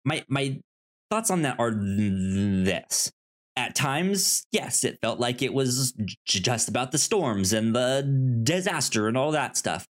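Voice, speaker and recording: A man co-hosting a movie podcast, talking casually and haltingly, often repeating words as he thinks aloud. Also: medium pitch, animated. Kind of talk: casual film discussion.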